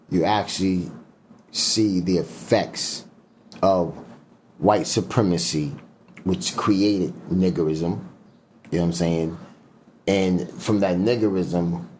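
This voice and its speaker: A man's voice: low voice, darkish tone, slight background noise. A man talking in an interview as a guest, emphatic and profane, full of fillers and slang. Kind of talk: casual interview talk.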